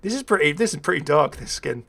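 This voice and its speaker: A man's voice: fairly high pitch, sing-song, quick pace, vocal fry, a bit bright, breathy edge. A man hosting a gaming video with casual, unscripted commentary. He is chatty and hesitant, thinking aloud and dropping wry asides.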